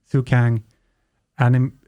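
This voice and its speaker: A man's voice: mid-range voice, level tone, slow pace, dark tone, no room sound, lo-fi sound. A man in his thirties casually interviewing as a podcast host, close to a studio microphone. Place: small curtained podcast studio. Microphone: studio microphone on boom arm, close.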